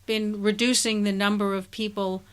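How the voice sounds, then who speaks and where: A woman hosting a podcast interview, speaking in a measured, thoughtful way. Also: mid-range voice, flat delivery, smooth. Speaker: podcast host. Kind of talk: conversational interview.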